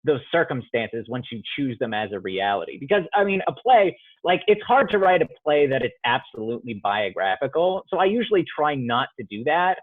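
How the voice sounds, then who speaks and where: A man's voice: mid-range voice, big pitch swings, a little fast, few pauses, no room sound. A male playwright answering interview questions thoughtfully, often restarting as he searches for words, heard over a video call. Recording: dry tinny phone-like audio.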